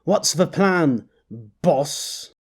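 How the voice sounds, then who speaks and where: A man voicing a sarcastic crook who mocks his boss, with a husky voice. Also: on the high side, animated, moderate pace. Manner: sarcastic, mocking.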